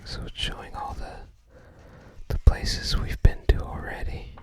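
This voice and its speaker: An ASMR host whispering softly and breathily close to the microphone, musing casually and tentatively. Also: unhurried. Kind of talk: ASMR, casual commentary while browsing a game guide book.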